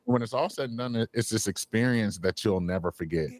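A man with a very low, deep voice speaks as a radio guest into a close studio mic. He talks with enthusiasm and laughs easily. The sound is dry, with no room echo. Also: a little fast. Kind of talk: radio interview.

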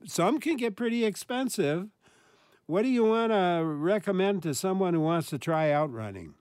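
A man's voice: sits high, animated, normal speed, darkish tone, clean tone, no room sound, clean studio sound. A man hosting a call-in radio show, taking callers with many "uh"s between his words. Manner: friendly, many filler "uh"s.

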